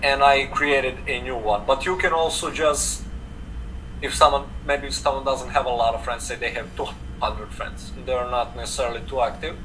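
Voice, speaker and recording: A man's voice: medium pitch, normal speed. A young man from Serbia with a Serbian accent, a native Serbian speaker, talking casually as an interview guest, full of "like" fillers. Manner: relaxed, frequent "like" fillers, gestures while talking. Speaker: guest, affiliate marketer. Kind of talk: casual video call interview. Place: home room indoors. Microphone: video call webcam. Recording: distant webcam mic with air conditioner hum.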